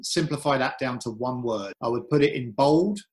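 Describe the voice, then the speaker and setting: A man's voice: mid-range voice, normal speed. A man answering interview questions on a podcast, thoughtful and a little hesitant, restarting his phrases as he thinks. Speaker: podcast guest, cave and wreck diver.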